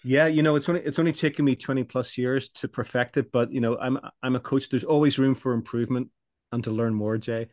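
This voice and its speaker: A middle-aged man, a business coach, answering interview questions in a measured, explanatory way with frequent restarts. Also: medium pitch, steady tone, a little mellow, dry recording, studio quality. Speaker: business coach for law firms. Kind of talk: podcast interview guest.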